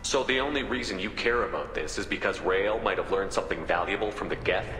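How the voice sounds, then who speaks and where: A man's voice: fairly low pitch, fast talker, lightly acted. An actor playing a composed male military commander in a video game, speaking in a direct, businesslike way. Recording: echoey hall reverb, music behind.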